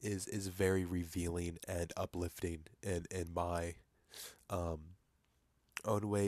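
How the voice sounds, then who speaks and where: Young guy, stuffy cold voice, low and dry, rambling desk vlog with lots of ums. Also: dry recording. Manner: rambling, many fillers and restarts. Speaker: vlogger. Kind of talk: casual vlog commentary over screen capture.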